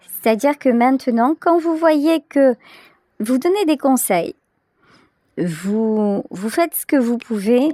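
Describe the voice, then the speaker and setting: Slow-paced, higher-voiced woman reading tarot. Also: animated, mellow, no echo, studio quality. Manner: hesitant, reflective, searching for words with pauses and repetitions. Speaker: tarot reader, channel host. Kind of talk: intuitive tarot reading addressed to the viewer.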